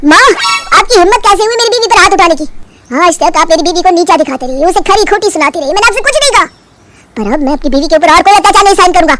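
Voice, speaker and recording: A woman's voice: very high-pitched, animated, fast, over music. A woman voicing a firm young husband in a cartoon story, lecturing and scolding. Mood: stern.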